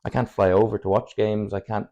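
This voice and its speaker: A young Irish man in his twenties, a native Irish speaker, talks at a rapid clip in a low, gravelly voice. He rambles candidly through his answers and chuckles often as a podcast guest. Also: little pitch movement, mellow, dull audio. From Ireland, Cork. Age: 20s. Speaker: footballer guest. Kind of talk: casual podcast chat.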